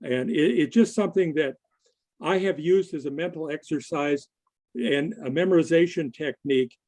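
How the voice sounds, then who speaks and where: A man presents to a group in a conversational way. His voice sits fairly high for a man and has a very mellow, relaxed tone. He speaks deliberately and a little haltingly, as if talking things through with his listeners. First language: English. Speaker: conference presenter. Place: online video meeting.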